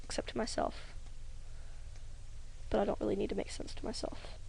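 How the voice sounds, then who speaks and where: A young woman rambling hesitantly over a drawing tutorial, often trailing off into soft near-whispers. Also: mid-range voice, level tone, normal speed, vocal fry, breathy edge, rough recording. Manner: rambling, hesitant, self-conscious, many ums. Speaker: hobby animator.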